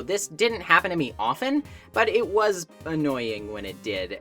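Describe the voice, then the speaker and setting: A woman with a low voice delivers scripted video essay narration about games. Her intonation is very lively, swinging up and down through each opinion and conversational aside. Music plays clearly behind her voice-over. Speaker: video game reviewer. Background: background music.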